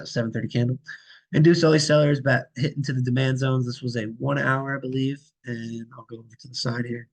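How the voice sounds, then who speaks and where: A young man with a raspy voice and a sore throat, losing his voice, hosts a casual, chatty walkthrough of trading charts. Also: medium pitch, low-quality recording. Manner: loose and chatty, many fillers and asides. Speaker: trading channel host.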